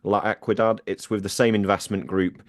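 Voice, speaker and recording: Low-voiced man reading the news roundup. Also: flat delivery, fairly quick, no room sound, studio quality. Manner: chatty, self-deprecating, with fillers. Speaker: podcast co-host presenting news roundup. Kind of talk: casual podcast chat.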